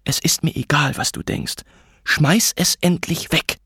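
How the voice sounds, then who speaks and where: A man's voice: mid-range voice, lively intonation, normal speed, creaky, fairly bright tone, slightly rough, no echo, studio quality, pitched above the performer's own voice, full character voice. A man voicing an angry, hurt mother in an audiobook, hissing urgent accusations at her husband. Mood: angry, insistent. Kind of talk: audiobook character acting.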